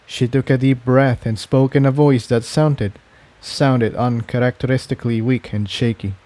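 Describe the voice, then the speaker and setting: A man reads a scripted adventure tale aloud as its narrator. His voice sits at a middle pitch. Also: fairly even intonation, moderate pace, dark tone, dry recording. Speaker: fan fiction narrator. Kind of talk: scripted story narration, audiobook reading.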